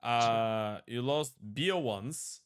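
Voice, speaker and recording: A man's voice: medium pitch, slow, clean tone. A man hosting an interview, asking long, rambling questions and correcting himself as he goes. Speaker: podcast host. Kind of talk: casual interview.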